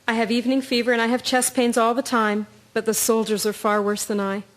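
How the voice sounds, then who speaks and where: Stoic, higher-pitched wartime nursing sister, played by a woman. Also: flat delivery, brisk, close to an everyday voice. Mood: weary, stoic. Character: stoic, weary First World War nursing sister. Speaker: actor in a radio drama. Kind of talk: period radio drama acting.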